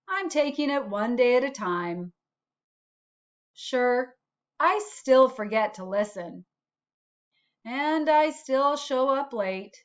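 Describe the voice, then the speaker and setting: A woman voicing a self-declared bad little sunflower seed in a storybook, confessing with deliberate dramatic phrasing. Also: fairly high pitch, muffled recording. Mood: earnest, hopeful.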